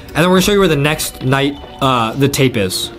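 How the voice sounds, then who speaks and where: A young man giving excited, repetitive gameplay commentary to his viewers as a video host. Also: medium pitch, fairly quick. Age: young adult. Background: faint music.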